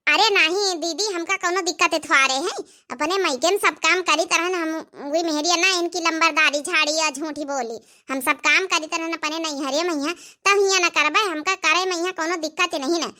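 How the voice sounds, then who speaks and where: Cartoon village bahu, played by a woman, very high childlike voice, bright and piercing, chatty, Awadhi accent. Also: normal speed. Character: young village daughters-in-law, chatty, deferential, lightly grumbling. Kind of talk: cartoon comedy dialogue.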